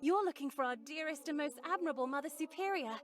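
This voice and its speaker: A woman playing a gentle, devout, chatty young nun in a visual novel, polite and effusive in manner. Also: sits high, lively intonation, fast. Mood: eager, effusive.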